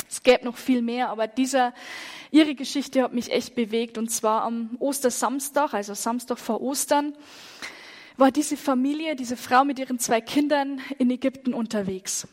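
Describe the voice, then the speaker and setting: A woman speaking in a church, telling moving stories with an earnest tone and dropping to a hush at the gravest moments. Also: high-pitched, steady tone, a little slow. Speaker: church speaker on persecuted Christians. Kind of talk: sermon-style testimony.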